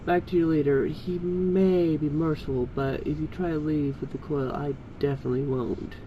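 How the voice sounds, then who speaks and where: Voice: mid-range voice, fairly even intonation, normal speed, highs cut off. Amateur story reader, noisy recording. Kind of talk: amateur read-aloud of fan fiction.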